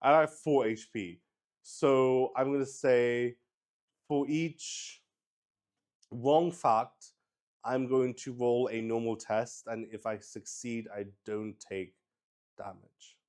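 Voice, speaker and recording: Slow, hesitant man. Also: mid-range voice, steady tone, darkish tone, smooth. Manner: hesitant, rambling, thinking aloud. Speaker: streamer hosting a solo tabletop roleplay stream. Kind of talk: casual improvised livestream chat.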